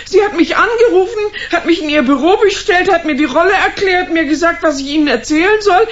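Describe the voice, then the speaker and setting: A woman's voice: very high-pitched, expressive, brisk, dull audio. A woman playing a timid, frightened small-time actress in a radio drama, anxious, hesitant and pleading. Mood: pleading, distraught.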